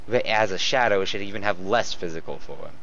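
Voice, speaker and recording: A man's voice: low-pitched, a little fast, a bit bright, slight background noise. A man doing a comedic read-aloud of fan fiction, stumbling over lines and adding mocking commentary. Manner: often breaks into laughter and stumbles while reading. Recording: dull lo-fi home recording.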